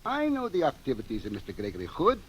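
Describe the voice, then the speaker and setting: An actor playing a pompous, skeptical police lieutenant in an old radio drama, formal, deliberate and condescending. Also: male voice, medium pitch, huge pitch swings, a bit bright, a little hiss or hum. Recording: dull old radio recording.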